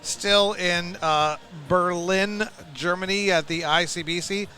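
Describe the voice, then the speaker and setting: Male podcast host, bright and higher-pitched, effusive and rambling. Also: steady tone, slow pace, soft background music. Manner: effusive and complimentary, loose and rambling. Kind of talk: casual interview.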